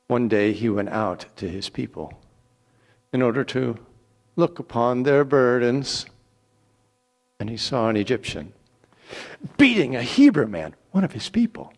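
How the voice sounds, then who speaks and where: Expressive man reading scripture with dramatic swings. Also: medium pitch, slightly rough. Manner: theatrical, deliberate, with dramatic pauses. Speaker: Bible reader.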